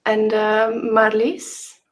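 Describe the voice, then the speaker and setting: A woman's voice: medium pitch, rough recording. A woman hosting a live online panel, introducing guests and asking polite questions with some "uh" fillers. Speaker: host. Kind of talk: live video panel moderating.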